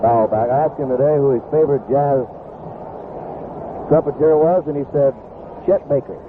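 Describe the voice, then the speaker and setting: A man's voice: mid-range voice, moderate pace. Tinny, noisy radio baseball announcer, American man. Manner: clipped phrases reciting counts and statistics. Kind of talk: live radio sports commentary. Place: outdoor ballpark broadcast position.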